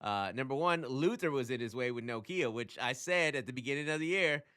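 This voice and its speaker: A man hosting his own channel, talking casually and emphatically as he explains things, often throwing in rhetorical questions and quick check-ins. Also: mid-range voice, lively intonation, pushed and bright, smooth. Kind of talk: casual explainer talk to camera.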